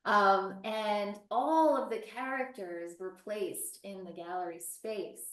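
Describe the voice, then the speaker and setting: A woman's voice: mid-range voice, slow pace. Teacher lady telling a story on a video call, lots of restarts, echoey room, sits back from the mic. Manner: animated storytelling with many restarts. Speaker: language teacher and guest. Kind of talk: casual webinar chat. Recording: roomy and distant from the mic.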